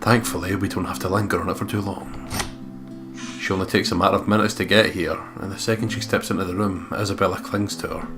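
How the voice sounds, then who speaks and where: A man's voice: sits low, little pitch movement, quick pace. A man reading visual novel narration aloud and giving casual, sometimes profane commentary as a let's play co-host. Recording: music clearly behind the voice.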